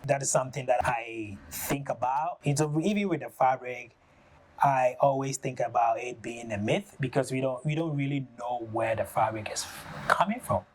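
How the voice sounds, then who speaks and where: A man with a Ghanaian accent, an artist talking through his work in a thoughtful, halting way, often pausing on "you know.". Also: medium pitch. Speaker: ceramic artist. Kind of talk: reflective studio talk.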